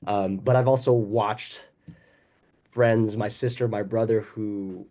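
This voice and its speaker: A male actor talks in a fairly low voice, relaxed and joking about himself. He laughs between thoughts and speaks in a loose, conversational way. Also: slow. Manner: casual, laughing, self-deprecating. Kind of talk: festival Q&A answer.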